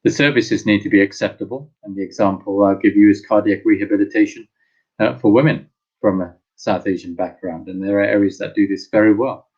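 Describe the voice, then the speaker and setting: A man with a husky, lowish voice speaks in a measured, organized way on a panel. The sound is dull and lo-fi. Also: fairly even intonation, darkish tone. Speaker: health panelist. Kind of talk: panel talk.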